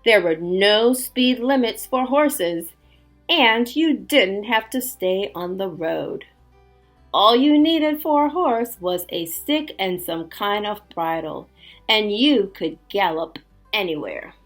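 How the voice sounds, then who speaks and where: A woman teacher reading a storybook aloud to children, expressive and emphatic, with little chatty asides. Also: medium pitch, slow pace, faint music behind.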